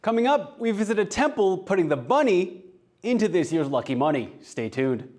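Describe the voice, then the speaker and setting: A man anchoring a television news program, reading scripted stories in a crisp, formal way. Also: high voice, very animated, moderate pace, darkish tone.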